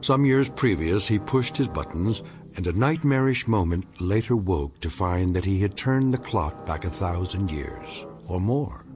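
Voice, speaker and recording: An actor playing a grave anthology narrator, measured and portentous in scripted dramatic narration. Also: male voice, low voice, lively intonation, darkish tone. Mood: solemn, ominous. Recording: music under the narration.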